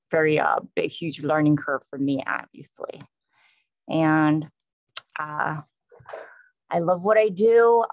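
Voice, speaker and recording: A man with a New York accent, a police sergeant introducing himself on a panel in a plain, conversational way with many pauses. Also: on the high side, a bit bright, dry recording. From New York, USA.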